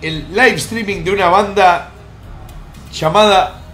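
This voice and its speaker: Crude joking man, high-pitched. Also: slow pace, fairly bright tone, soft background music. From born in the United States. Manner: vulgar, joking, rambling asides. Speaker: streamer host. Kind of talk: crude comic countdown commentary. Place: home room in Miami.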